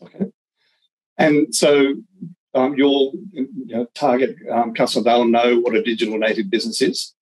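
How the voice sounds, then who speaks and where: A man's voice: medium pitch, steady tone, normal speed, a little mellow, breathy edge, rough recording. A man hosting a business podcast, asking questions hesitantly with many ums. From Australia. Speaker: podcast host. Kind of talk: interview questions.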